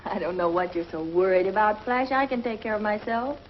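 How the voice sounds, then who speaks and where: A woman's voice: medium pitch, a little fast, lightly acted. A woman playing breezy young woman scientist. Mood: reassuring. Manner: reassuring, breezy, confident. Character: confident young female scientist companion. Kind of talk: 1950s TV drama acting. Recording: dull lo-fi 1950s TV audio with hiss.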